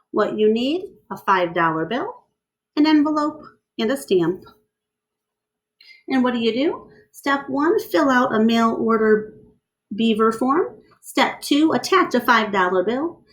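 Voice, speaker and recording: A woman's voice: fairly high pitch, poor audio. A woman reading a children's book aloud like a teacher, explaining as she goes and putting questions to young listeners. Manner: instructive, asks the listener questions, enunciates steps.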